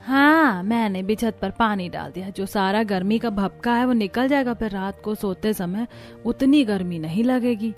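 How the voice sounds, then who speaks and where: A woman's voice: mid-range voice, normal speed, soft background music, natural voice. A woman playing a mild, accepting young village woman in cartoon dialogue. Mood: resigned.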